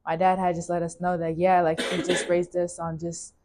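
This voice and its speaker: Young woman from California, low flat voice, easygoing, lots of "like" and "you know". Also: normal speed. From California, USA. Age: young adult. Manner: relaxed, with many fillers like "like" and "you know". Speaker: interviewee. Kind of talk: casual interview, personal story.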